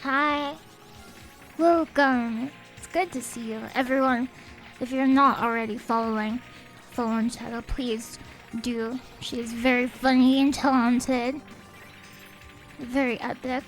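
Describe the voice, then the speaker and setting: Young woman, high voice, sniffly, soft-spoken, casual game-stream chat, faint music behind. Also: fairly even intonation, slow, long pauses, poor audio. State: sniffly, a bit stuffed up.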